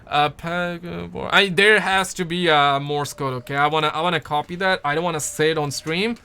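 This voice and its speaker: A man's voice: a little high, fairly even intonation, brisk, bright, forceful tone, clean tone. A young man from Pakistan, chatting casually on a livestream and answering viewer comments in a rambling, playful way that repeats words. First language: Urdu.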